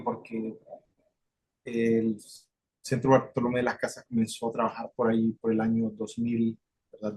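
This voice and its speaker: A man's voice: medium pitch, a little mellow, poor audio. A Salvadoran man, a community facilitator, talking in a video call interview, with many fillers and restarts.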